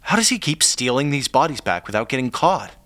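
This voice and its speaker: An affable, nervous male sidekick in an audio drama. His pitch is very mobile and animated. He talks in hesitant, rambling runs with a wry, self-deprecating edge. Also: fairly quick, no room sound. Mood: wry, incredulous.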